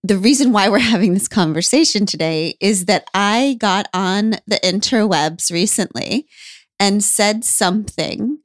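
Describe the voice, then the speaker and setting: A woman's voice: mid-range voice, a little slow, dry recording, studio quality. Wry woman hosting a conversation. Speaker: podcast host. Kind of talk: conversational interview.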